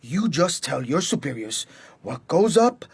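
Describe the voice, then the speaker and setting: A brash American killer-boss in a radio play, husky and fairly high for a man. He speaks with mocking command, recorded close and dry. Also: sing-song, measured pace, no echo, full character voice. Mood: firm, brash. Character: ruthless swaggering American assassin chairing a gangster cartel. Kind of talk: radio drama acting.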